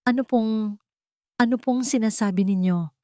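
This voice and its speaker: A woman's voice: on the high side, normal speed, mellow, no echo, studio quality, voice pushed up, lightly acted. A woman voicing an anxious, polite young village woman in distress in an audiobook love story, with a faltering manner. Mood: anxious, disbelieving.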